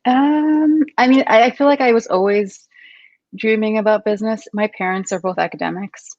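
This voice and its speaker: A woman's voice: medium pitch, normal speed, a little mellow, no echo. A woman from Kuwait, a company founder, chatting in an interview in a thoughtful, upbeat way with many ums and you-knows. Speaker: company founder and CEO, guest. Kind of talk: casual interview conversation. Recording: dull, dry lo-fi sound.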